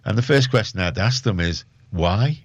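A man speaks in a fairly low voice, in a casual interview style. He is opinionated and emphatic, doubling back on phrases to drive them home. The recording is dry, with little room sound, and slightly muffled. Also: animated, moderate pace, dry recording. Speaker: community radio organiser, interview guest.

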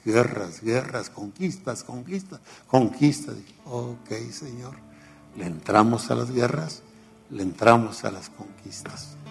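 A man's voice: lowish voice, vocal fry. A man in his seventies preaching a radio sermon, reflective and storytelling, chuckling at himself. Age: 70s.

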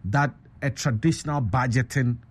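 A man hosting a breakfast radio show, asking a guest measured, probing questions. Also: mid-range voice, breathy edge. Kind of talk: radio interview.

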